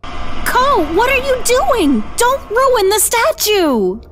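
Excitable young female explorer played by a woman: high, very animated, playful and coaxing, sci-fi audio drama. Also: slow pace, background noise, heavily acted. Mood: alarmed.

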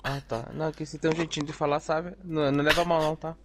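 A man chatting and teasing his squad mates while playing a shooter, often shouting excited callouts. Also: a little high, fast. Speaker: streamer in squad. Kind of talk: casual gaming chat over voice chat. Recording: noisy game audio with gunfire.

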